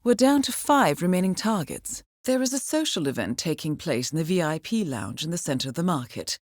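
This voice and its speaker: An actress playing a cool, composed intelligence handler in a video game, briefing an assassin with articulate dry wit. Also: female voice, on the low side, very animated, a little fast, dry recording. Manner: composed, dryly witty, articulate. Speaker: mission handler.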